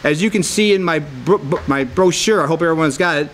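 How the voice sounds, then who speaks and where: A man's voice: fairly high pitch, fairly quick, fairly bright tone, slight background noise. A plain-spoken man in his sixties with an American accent, giving an informal introduction as a council candidate. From Michigan, United States. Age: 60s.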